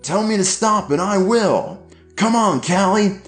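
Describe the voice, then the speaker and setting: A man voicing an angry, abusive middle-aged father who coaxes and then shouts. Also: medium pitch, sing-song, takes it slowly, voice pushed up. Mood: menacing. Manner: shouting, coaxing then cruel. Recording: echoey room, faint music.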